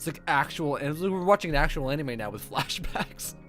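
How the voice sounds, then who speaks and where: Animated man streaming a visual novel. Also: a little high, brisk. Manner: wry, joking, sweary commentary. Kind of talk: reading game text aloud with casual asides. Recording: background music under voice. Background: music with guitar.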